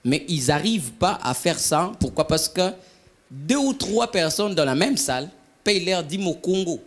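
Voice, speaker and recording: A man's voice: on the high side, animated, unhurried. A man leading a church discussion on mentoring, asking questions and adding examples in a hesitant way with many fillers. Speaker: interviewer in a church talk.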